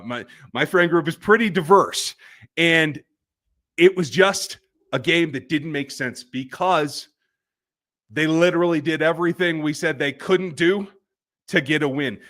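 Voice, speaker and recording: A man hosts a live post-game football reaction stream, and his delivery is fired-up and ranting. His voice sits a little high for a man. He pushes his points hard and speaks straight to the viewers. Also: fairly bright tone. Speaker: podcast host.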